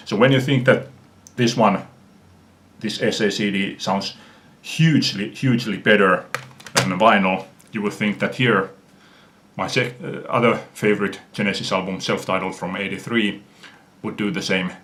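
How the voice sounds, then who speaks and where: Low-voiced Finnish-accented man. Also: steady tone, a bit bright. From Finland. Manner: earnest, unhurried, frequent "uh" pauses. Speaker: music fan vlogger. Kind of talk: casual video response.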